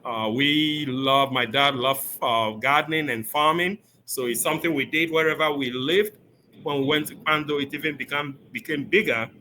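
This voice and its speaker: A man from Ghana, a pastor, answering interview questions with steady, detailed storytelling about his upbringing. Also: fairly high pitch, moderate pace, slight background noise. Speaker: pastor, guest.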